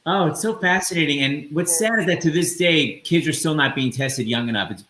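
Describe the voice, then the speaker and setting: Middle aged guy interviewer, highish lively voice, emphatic, talks like he really means it. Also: fairly quick, slight background noise. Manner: emphatic, earnest. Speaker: interviewer, co-host. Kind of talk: conversational interviewing. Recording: echoey room.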